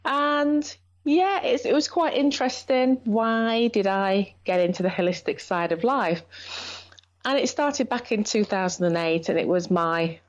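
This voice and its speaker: A woman in her fifties with a Yorkshire accent, an interview guest who talks fast and laughs often. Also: medium pitch. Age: 50s. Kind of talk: casual interview. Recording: dull lo-fi remote line.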